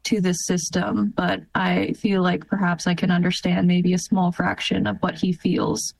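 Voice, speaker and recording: A young American woman in her twenties giving earnest personal testimony in an interview, in a halting, reflective way. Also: lowish voice, level tone, creaky, darkish tone, no room sound, poor audio. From United States. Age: 20s. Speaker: interview guest, military officer.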